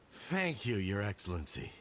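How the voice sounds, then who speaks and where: A man's voice: fairly low pitch, creaky, fairly bright tone, a little hiss or hum, full character voice. An actor playing a subdued, submissive male subordinate addressing a superior in a video game. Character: deferential, subdued male subordinate answering his leader. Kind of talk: scripted video game dialogue.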